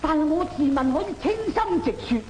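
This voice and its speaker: An actor playing a timid household servant testifying in an old opera film, deferential and emphatic. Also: male voice, extremely high pitch, animated, brisk, warm tone. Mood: nervous testimony. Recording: old film soundtrack with hiss.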